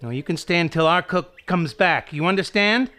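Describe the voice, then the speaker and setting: A man's voice: high voice, expressive, normal speed, sharp tone. A man playing a brusque, shifty, bossy fellow at a desert house in an audio mystery drama. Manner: bossy, curt. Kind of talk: audio drama re-creation of 1930s mystery film.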